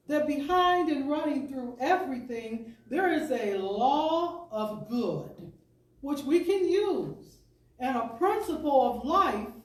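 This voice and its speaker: A woman giving a deliberate, expressive, oratorical reading of an inspirational talk to a congregation. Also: fairly high pitch. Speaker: speaker, professional storyteller. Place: spiritual center service, indoors. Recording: echoey room, distant pickup.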